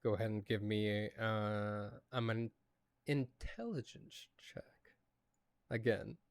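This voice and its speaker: A man narrating a tabletop role-playing game as game master, with measured, deliberate phrasing. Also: lowish voice, dry recording.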